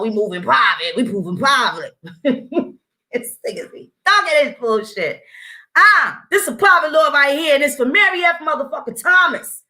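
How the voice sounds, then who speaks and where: A woman's voice: sits high, pushed and bright. A woman vlogger giving blunt, profane commentary straight to her audience, breaking off to read legal text aloud.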